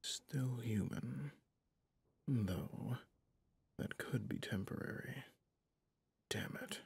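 Centuries-old vampire hunter , male actor: stern and menacing, gravelly, whisper-prone, recorded close and dry for ASMR roleplay. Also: lowish voice, no echo, heavily acted. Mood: wary, restrained. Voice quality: husky, breathy, gravelly, often dropping to a whisper. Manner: slow, commanding, interrogating.

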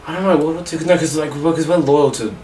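A man's voice: a little high, steady tone, fast talker, a little mellow. An Australian man casually telling history stories over gameplay, rambling, swearing and repeating himself. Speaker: storyteller on a gaming video. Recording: roomy, distant mic, faint noise.

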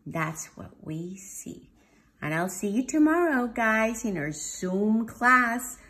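Woman reading slowly with very lively pitch. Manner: sing-song, slow, drawn-out phrasing for small children. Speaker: preschool teacher reading aloud to class. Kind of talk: children's storybook read-aloud. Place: home dining room, indoors.

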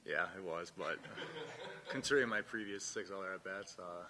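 A young man playing college baseball, recounting a play in a relaxed way with fillers at a press conference table mic. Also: low voice, normal speed, a crowd in the background. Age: young adult. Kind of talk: postgame press conference answers. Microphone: table microphone. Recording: noisy press room.

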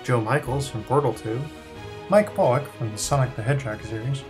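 A man with a medium-pitched voice narrates a project pitch. The delivery is scripted and promotional, with soft music underneath. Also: a little fast. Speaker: writer-director presenting his project.

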